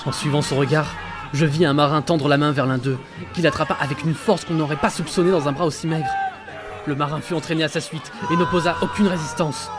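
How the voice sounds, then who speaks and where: A man playing a frightened sailor who narrates his journal gravely, in dramatic audio fiction. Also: fairly high pitch, fairly even intonation, fairly quick, a crowd in the background, natural voice. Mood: horrified. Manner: grave, haunted storytelling. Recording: loud music under the voice.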